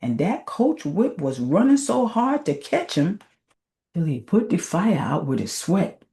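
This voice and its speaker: A woman's voice: fairly low pitch, very animated, measured pace, vocal fry, mellow, full character voice. A woman voicing a boastful man spinning a tall tale in folksy Southern dialect. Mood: bragging. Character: boastful male fisherman spinning tall tales among friends.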